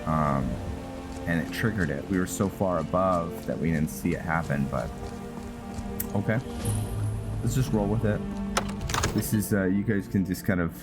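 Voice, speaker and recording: A man giving casual commentary on his own roleplay playthrough of a video game, in a deep voice. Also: moderate pace, long pauses. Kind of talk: casual gameplay commentary with some in-character lines. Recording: game music behind the voice. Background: video game music.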